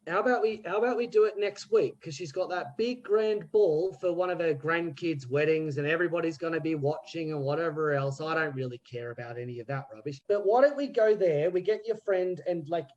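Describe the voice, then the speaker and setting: A man's voice: a little high, fairly quick, few pauses, darkish tone, lo-fi sound, lightly acted. A man doing a put-on British accent as a scheming petty crook in an improv scene, rambling with slang and restarts. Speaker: improv player.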